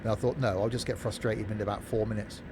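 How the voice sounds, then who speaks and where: A man's voice: steady tone, fast. A man chatting casually and jokily as he live-streams a game, replying to viewers in an informal way. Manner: informal, jokey, chatty asides. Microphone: streaming microphone through a mixing desk. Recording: constant background noise, vehicle rumble.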